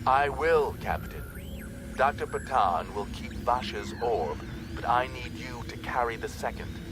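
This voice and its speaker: A man's voice: medium pitch, a little slow, noisy background, voice pushed up, natural voice. A man voicing a harsh, commanding female alien scientist in an audiobook, clipped and explanatory. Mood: commanding, urgent. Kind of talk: audiobook character acting.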